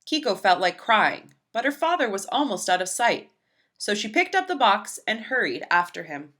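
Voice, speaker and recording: Bright, animated woman reading a storybook. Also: mid-range voice, normal speed. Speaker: librarian reading a picture book aloud. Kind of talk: expressive children's storybook narration.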